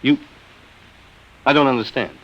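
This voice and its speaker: A man's voice: medium pitch, a little slow, lightly acted. An actor playing a bitter, sardonic, world-weary businessman and father in a 1950s radio drama. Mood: bitter, incredulous. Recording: muffled 1950s radio recording.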